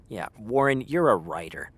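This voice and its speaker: A man's voice: on the low side, sing-song, a little slow, fairly bright tone, husky, exaggerated voice. An actor playing a frank, exasperated young man talking to his best friend in a naturalistic, conversational audio drama style. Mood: teasing, hesitant.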